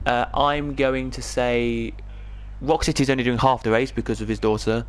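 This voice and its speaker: A man's voice: mid-range voice, steady tone, moderate pace, smooth. A man from England with an English accent, giving chatty live commentary on an online racing league. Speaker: esports race commentator.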